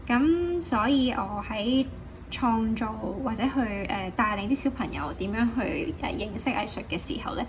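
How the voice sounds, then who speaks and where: A woman's voice: a little high, animated, normal speed. Hong Kong woman, noisy recording. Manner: switches between Cantonese and English, many fillers. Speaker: artist and art teacher. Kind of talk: casual talk about her art. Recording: noisy background.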